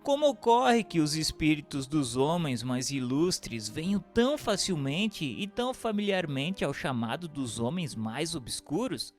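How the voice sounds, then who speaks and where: A man's voice: a little high, very animated, a little slow, hardly any pauses. A man reading scripted narration and posing formal questions as a narrator. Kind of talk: scripted narration of a spiritist text. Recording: long hall reverb, music underneath.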